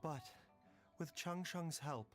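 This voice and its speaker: A man playing a composed, gentle, scholarly doctor in a video game, speaking in a measured, formal, explanatory way. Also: a little high, faint music behind.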